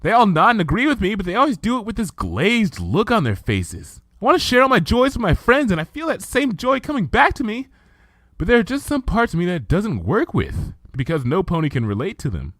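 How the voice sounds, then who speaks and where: A man's voice: sits high, wildly swooping pitch, a little fast, fairly bright tone, dry recording, clean studio sound, voice pushed up, heavily acted. A man voicing an earnest, bookish young female unicorn scholar in a fan fiction reading, talking eagerly and chattily.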